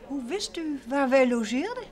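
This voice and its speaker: An actress playing a detective's polite, curious wife in an old Dutch radio play. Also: female voice, high voice, very animated, normal speed. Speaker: radio drama actor. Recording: clearly noisy vintage radio recording.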